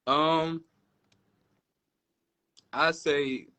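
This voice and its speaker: A man with a deep voice, a musician answering interview questions in a relaxed, reflective way. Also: drawn-out words, clean tone. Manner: relaxed, reflective, says "you know" often.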